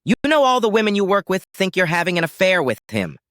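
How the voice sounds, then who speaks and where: A man's voice: medium pitch, animated, normal speed, fairly bright tone, no room sound, clean studio sound, natural voice. A man playing an angry, betrayed husband, confronting and accusing with sarcastic force.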